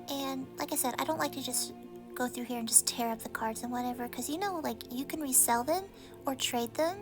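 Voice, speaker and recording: A young woman from Texas, chatting casually through an unboxing with lots of fillers, sounding a little congested. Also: high-pitched. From Texas, USA. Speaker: vlogger.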